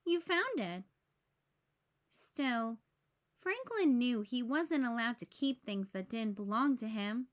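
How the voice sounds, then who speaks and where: A woman reading a children's storybook aloud in measured, clearly phrased narration. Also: a little high, expressive.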